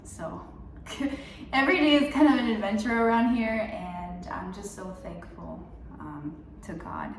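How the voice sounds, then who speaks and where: A young woman from Mexico talks in a breezy, chatty way, scattering "um" and "like" as she shares her week. Her voice sits at an ordinary middle pitch. It reaches the mic from a little way off in a roomy space with a faint hum of ambience. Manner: chatty, upbeat, many fillers. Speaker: faith vlogger. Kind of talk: casual devotional vlog. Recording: roomy, a bit far from mic.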